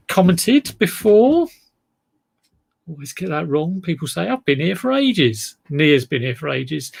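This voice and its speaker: A man's voice: on the high side, vocal fry, husky. A man with a South East London drawl, chatting casually on a live stream, rambling about his sales and reading out viewer comments. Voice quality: relaxed drawl. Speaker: eBay reseller livestream host.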